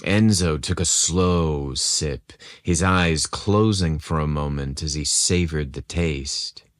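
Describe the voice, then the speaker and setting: A man narrating a scripted romantic story in an intimate, unhurried way. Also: a bass voice, no room sound.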